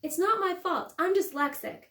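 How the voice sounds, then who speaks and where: A woman's voice: very high voice, moderate pace, a bit bright, echoey room, pitched above the performer's own voice. A woman voicing an earnest, imaginative young girl who bossily plays at being grown-up in a storybook reading. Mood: stubborn. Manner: earnest, insistent, playing grown-up. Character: young girl Ella, bossy, earnest, imaginative little sister.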